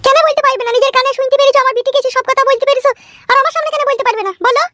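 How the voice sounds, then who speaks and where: A woman's voice: extremely high pitch, fairly even intonation, rapid-fire, sharp tone, no room sound. A woman voicing an indignant, preachy village mother-in-law in a cartoon, with a scolding, insistent way of talking. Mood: demanding. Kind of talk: Bengali cartoon acting.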